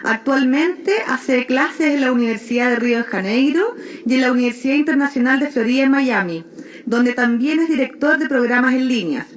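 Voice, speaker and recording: Woman, moderator, formal introduction, reads steadily from notes, fairly high voice. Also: normal speed. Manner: reads a prepared biography steadily.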